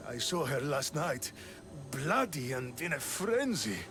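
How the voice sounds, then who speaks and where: A man's voice: medium pitch, big pitch swings, unhurried, a bit bright, soft background music. A man voicing an adamant, alarmed witness in a video game, speaking insistently. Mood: accusing, insistent. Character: adamant, alarmed male witness in ancient Greece. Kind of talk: video game cutscene acting.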